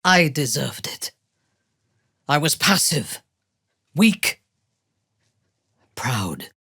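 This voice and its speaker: A cold, menacing dark elf commander for a fantasy game. Her voice is low and husky, and she speaks slowly and deliberately, with wide, dramatic swings in pitch. She sounds imperious and disdainful. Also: sing-song, no echo, clean studio sound, heavily acted. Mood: intimate, solemn. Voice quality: husky, breathy. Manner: slow, deliberate, imperious, precise.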